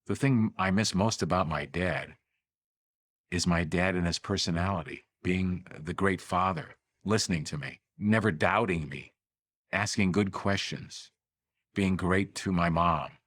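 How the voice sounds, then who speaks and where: A man's voice: low voice, measured pace, fairly bright tone, no room sound. A middle-aged man giving a heartfelt documentary interview. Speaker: interviewee remembering his father.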